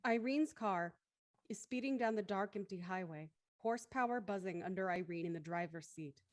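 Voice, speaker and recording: A woman's voice: medium pitch, normal speed, no echo, studio quality. A woman reading scripted narration for an audio drama thriller.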